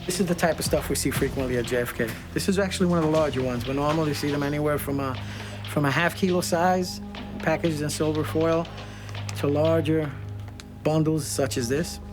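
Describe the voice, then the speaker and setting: A man's voice: on the high side, steady tone, a little fast. A man working as a customs officer explains a procedure in a matter-of-fact, procedural way. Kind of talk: on-the-job explanation. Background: background music.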